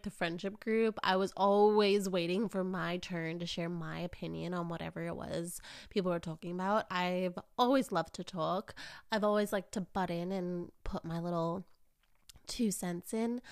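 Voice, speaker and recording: A woman's voice: mid-range voice, clear, resonant tone. A woman in her early twenties talks in a mid-range voice, as if chatting to friends on her own podcast. She is informal and effusive, with plenty of casual filler phrases. A lingering cold leaves her sounding a little nasal and raw in the throat. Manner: informal and effusive, peppered with "like" and "you know". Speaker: podcast host.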